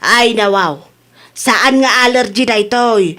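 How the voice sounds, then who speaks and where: A woman's voice: fairly high pitch, slow, fairly bright tone. A woman with an Ilocano accent playing a vain, stubborn, playful old mother in a radio comedy drama, coy and defensive.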